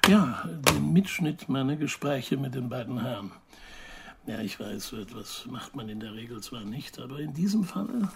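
An actor playing an evasive investigative journalist in a radio crime drama, speaking hesitantly and precisely in German. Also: male voice, medium pitch, lively intonation, normal speed, vocal fry, noisy background. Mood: guarded, hesitant. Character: evasive male investigative journalist giving testimony.

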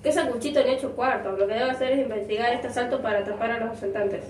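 A woman's voice: on the high side, fairly even intonation, fast talker, lightly acted. A woman playing an indignant, bossy bank customer in a comedy skit, scolding in an exasperated way. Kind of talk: amateur comedy skit acting. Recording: very noisy echoey room, distant mic.

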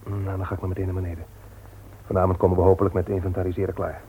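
An actor playing an exasperated but restrained younger nephew in a Dutch radio play, politely argumentative. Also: male voice, low voice, little pitch movement, very fast, mellow, close to an everyday voice. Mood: weary. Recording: thin phone-like radio sound, noisy.

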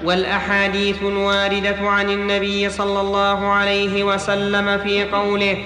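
High-pitched man reciting formally in echoing hall. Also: monotone, normal speed, sharp tone, soft background music. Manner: formal recitation, repeating phrases when corrected. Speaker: student reader. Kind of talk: reading a classical religious text aloud in a lesson. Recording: echoey hall with long reverb.

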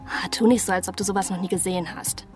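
A woman playing a reckless, low-voiced teenage girl over music. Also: fairly even intonation, fast talker, darkish tone, close to an everyday voice. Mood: defiant. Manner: crude, taunting, slangy. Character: reckless, foul-mouthed, defiant seventeen-year-old daughter, hungry for escape. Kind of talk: radio drama acting.

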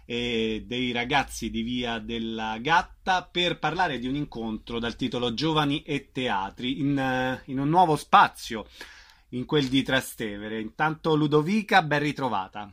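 A man's voice: mid-range voice, a little slow, a bit bright. A man hosting a live radio interview, informal and somewhat hesitant in his phrasing.

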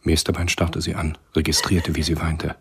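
Radio drama narrator, low pitch, monotone, grave, detached, restrained literary delivery. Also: male voice, gravelly, slight background noise. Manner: measured, restrained. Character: grave, detached male storyteller.

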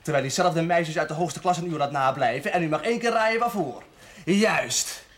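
An actor playing a bitter, sneering young male prisoner, fairly high-voiced. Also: normal speed, a bit bright, lightly acted. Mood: bitter, scornful. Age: young adult. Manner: sneering, sarcastic. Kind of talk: television drama acting.

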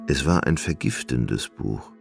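A male narrator with an extremely low, creaky voice tells a literary story for radio. His intonation moves widely, and music plays clearly behind him. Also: expressive, a little slow. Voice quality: deep, gravelly.

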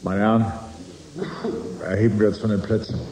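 Man acting a low-voiced, cynical executive, noisy recording. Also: level tone, normal speed, lightly acted. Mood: solemn. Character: weary, cynical male corporate negotiator Richard. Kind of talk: radio drama acting.

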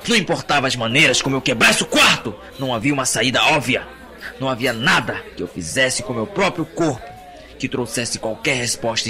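Man acting deep, gravelly, brooding captive, Brazilian accent. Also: bright, forceful tone, heavily acted. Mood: frustrated, intense. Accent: Brazilian Portuguese. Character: anguished captive man recounting his imprisonment. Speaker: audio drama narrator. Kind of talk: dramatic first-person monologue. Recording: music bed under the voice.

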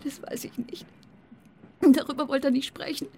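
A woman's voice: sits high, fairly even intonation, normal speed, creaky, warm tone, in a whisper. A woman playing a defiant, blunt girlfriend of a petty criminal in a naturalistic radio drama, talking colloquially. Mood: defensive, insistent. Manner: blunt, colloquial, evasive under questioning.

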